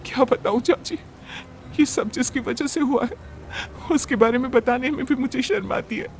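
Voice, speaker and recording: Man doing a very high, plaintive young woman. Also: moderate pace, a little hiss or hum. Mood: ashamed, plaintive. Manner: plaintive, polite, deferential. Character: soft-spoken young pregnant woman in trouble. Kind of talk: acted storybook dialogue.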